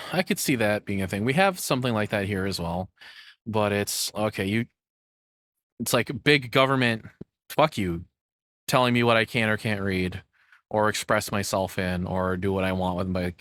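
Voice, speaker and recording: A man with a lowish voice reacts in casual, unscripted commentary. He talks informally, like a streamer, and the sound is very dry with no room echo. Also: a bit bright. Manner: informal, freely swearing.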